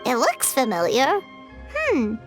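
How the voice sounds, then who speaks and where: A tiny, excitable fairy sidekick, with a very high, squeaky voice that leaps and bounces all over the place. She talks about herself in the third person. Bright game music plays behind her. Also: takes it slowly, exaggerated voice. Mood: eager. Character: tiny floating female fairy companion, excitable, food-loving. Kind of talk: video game voice acting. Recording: game audio with background music.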